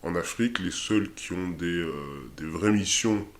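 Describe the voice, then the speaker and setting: Un homme d'une vingtaine d'années, soldat, qui répond en entretien de façon décontractée et hésitante, avec beaucoup de « euh » et de reprises. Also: male voice, sits low, a little slow. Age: 20s. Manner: hesitant, many fillers and restarts. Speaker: interviewee, army soldier. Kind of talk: casual interview answers.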